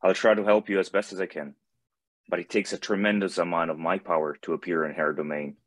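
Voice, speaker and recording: A man acts out a benevolent god of light for an animated fantasy. The voice is very deep and solemn, with grave, measured phrasing. The sound is dry and close. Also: no echo. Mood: earnest. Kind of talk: animated fantasy script table read.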